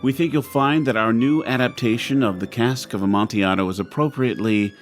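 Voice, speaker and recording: A man's voice: medium pitch, brisk, soft background music. A man hosting an audio drama show, reading closing credits in a chatty, informal way. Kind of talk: podcast outro with credits, lightly scripted.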